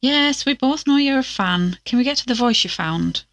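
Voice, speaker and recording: A woman's voice: on the high side, expressive, moderate pace, a bit bright, dry recording. A woman acting as a wry, impatient young investigator in an audio drama, blunt and teasing with her friends.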